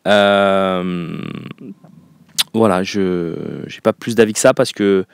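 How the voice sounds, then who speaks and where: A man's voice: sits low, fairly even intonation, takes it slowly, smooth, dry recording, studio quality. A man hosting a football call-in show, giving emphatic, opinionated takes with frequent fillers. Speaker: radio call-in show host. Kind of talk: casual football phone-in talk.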